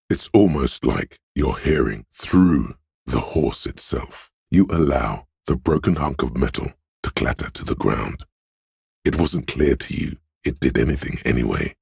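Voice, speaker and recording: An actor playing a measured, quietly intent inner-voice narrator in a video game, hushed and deliberate, savoring each phrase. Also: male voice, extremely low pitch, no echo, clean studio sound, exaggerated voice. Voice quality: gravelly, husky. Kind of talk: scripted second-person game narration.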